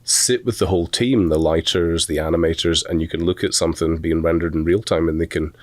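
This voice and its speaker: A middle-aged man with a softened Scottish accent, an animation instructor telling rambling, self-deprecating stories in a relaxed interview. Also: sits low, brisk, no room sound. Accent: Scottish, softened toward American. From Scotland.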